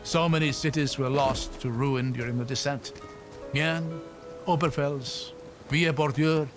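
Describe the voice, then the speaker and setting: An actor playing a thoughtful, kindly older scholar-adventurer in a video game, articulate and wry. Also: male voice, fairly high pitch, steady tone, measured pace, natural voice. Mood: intrigued, wistful. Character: learned, kindly older male scholar-adventurer, wistful about history. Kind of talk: video game cutscene acting. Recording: loud game score behind. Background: music.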